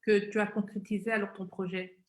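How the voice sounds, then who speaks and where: A woman hosting a live interview show, speaking in halting, repetitive phrases. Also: mid-range voice, flat delivery, a little hiss or hum. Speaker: webmagazine founder and show host. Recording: roomy, echoing live-stream sound.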